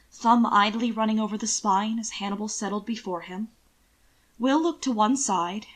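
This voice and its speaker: Woman narrating a story, measured and expressive. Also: medium pitch. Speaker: narrator reading fan fiction aloud.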